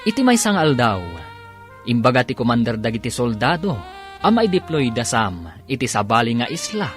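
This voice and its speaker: A male radio announcer delivers scripted drama narration and credits. His medium-pitched voice is lively and sweeping, set over a music bed. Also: moderate pace.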